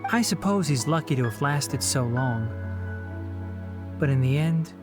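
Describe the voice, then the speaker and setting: Actor as thoughtful young scholar over music. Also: male voice, medium pitch, expressive, close to an everyday voice. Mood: resolute, solemn. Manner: measured, polite, articulate. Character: earnest, thoughtful young male scholar and forest watcher, loyal to his master. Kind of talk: scripted video game cutscene acting. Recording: music playing behind the voice.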